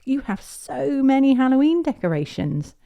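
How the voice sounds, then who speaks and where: A woman voicing an excitable, giggly little girl pig for a children's storybook, eager and exclaiming. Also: fairly high pitch, unhurried, highs cut off, voice pushed up.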